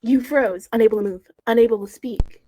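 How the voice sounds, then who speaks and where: A woman's voice: a little high, brisk, dark tone, slight background noise, rough recording. A young woman reading a story aloud casually, dropping in wry, nitpicking asides. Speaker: co-host reading fan fiction on a call. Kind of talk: casual read-aloud with joking commentary.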